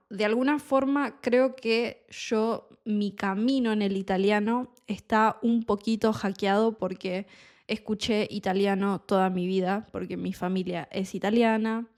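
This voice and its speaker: Young woman w/ buenos aires accent, spanish tutor, super slow careful talk, explains stuff as she goes. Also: mid-range voice, a little mellow. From Argentina. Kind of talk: slow, clear teaching monologue.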